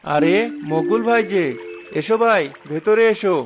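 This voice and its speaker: A man's voice: high-pitched, unhurried, noisy background. A man playing a hospitable, proud village neighbour in a cartoon. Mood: welcoming, proud. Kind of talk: cartoon dialogue.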